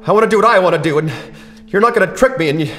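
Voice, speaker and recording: A man playing a restless, stubborn young man in love, with halting, stammering speech, in an old-time radio drama. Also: high voice, lively intonation, a bit bright, faint music behind. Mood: angry.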